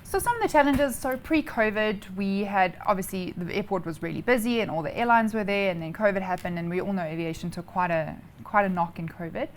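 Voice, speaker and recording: A woman's voice: medium pitch, fairly quick, few pauses, a little hiss or hum. A woman with a South African accent answering interview questions informally, explaining things step by step in a chatty way. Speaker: interview guest, air traffic controller.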